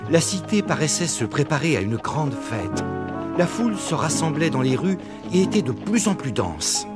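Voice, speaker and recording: A man's voice: fairly high pitch, very animated, normal speed. A man playing a storyteller who recounts Gospel events to children in a cartoon. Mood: storytelling. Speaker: narrator. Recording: loud music behind the voice.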